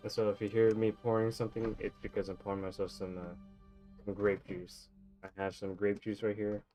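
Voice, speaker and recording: A man's voice: lowish voice, level tone, brisk, mellow. A man giving casual video game commentary, thinking aloud in a rambling way. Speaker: streamer playing a video game. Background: video game music.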